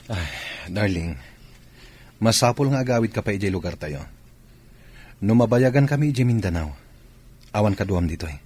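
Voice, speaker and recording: A man playing a husky, low-voiced soldier. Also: expressive, moderate pace, pauses often, a little mellow. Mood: tender. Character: soldier husband deployed to Mindanao. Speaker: radio drama actor. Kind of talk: Ilocano radio drama acting.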